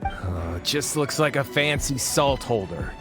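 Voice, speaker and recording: A man's voice: mid-range voice, lively intonation, a little slow, over music, close to an everyday voice. A man voicing a brash, greedy treasure hunter. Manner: brash, dismissive. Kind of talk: video game voice acting. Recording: game score playing faintly.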